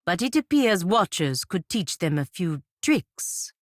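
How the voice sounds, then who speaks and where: Stern female warrior envoy, lowish pitch, close dry sound, formal and earnest, proud, fantasy game dialogue. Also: sing-song, unhurried, no echo. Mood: grave, concerned. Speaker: game character voice actor.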